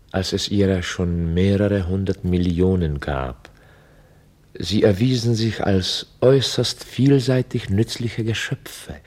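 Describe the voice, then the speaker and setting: An actor playing a chronicler, narrating a mock history in a formal, matter-of-fact way for a radio play. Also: male voice, fairly low pitch, mellow.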